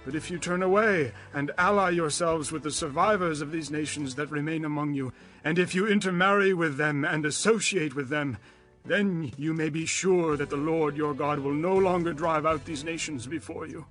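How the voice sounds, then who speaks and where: An actor playing an aged leader of Israel, grave and exhorting, in a dramatized scripture reading. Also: male voice, on the high side, moderate pace, fairly bright tone, faint music behind, lightly acted. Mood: grave warning. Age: older. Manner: grave, declamatory, emphatic.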